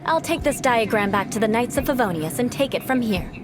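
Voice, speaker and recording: A woman's voice: a little high, expressive, a little fast, close to an everyday voice. A woman voicing a haughty, vengeful young female knight in a video game, speaking formally and imperiously with theatrical threats. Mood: proud, vengeful. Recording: loud game music behind.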